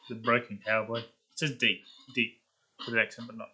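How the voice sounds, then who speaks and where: A man's voice: medium pitch, little pitch movement, fairly quick, bright, forceful tone, slightly rough. A man chatting casually as he tries out voice-over script readings.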